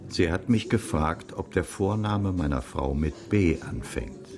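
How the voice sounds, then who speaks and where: An actor playing a dry, composed male investigator in a radio crime drama, with a deliberate, understated delivery. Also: low-pitched, music in the background. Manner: deliberate, understated, slightly old-fashioned wording. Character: dry, composed widowed male investigator reporting his findings.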